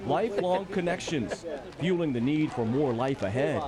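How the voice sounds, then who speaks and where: A man's voice: on the high side, expressive, moderate pace, a loud crowd. A man narrating a scripted television news feature as the reporter, with a playful, lilting delivery.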